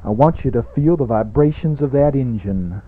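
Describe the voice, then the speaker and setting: A man's voice: medium pitch, lively intonation, normal speed, slight background noise, lightly acted. Man playing a pompous radio evangelist, tinny band-limited sound. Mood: rousing fervour. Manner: exhortatory, grandiose, pompous, builds from hushed coaxing to shouting. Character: fervent, greedy radio evangelist preaching prosperity and car worship. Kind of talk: parody radio sermon. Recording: tinny phone-like 1980s radio recording.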